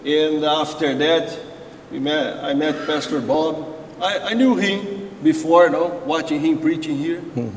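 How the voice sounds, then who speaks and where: A man with a Portuguese accent gives a slow, hesitant church testimony in broken English, stopping often on "uh." His voice sits a little high. The sound is roomy, with a long church-hall reverb and faint noise behind. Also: fairly even intonation. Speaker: pastor. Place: large church sanctuary.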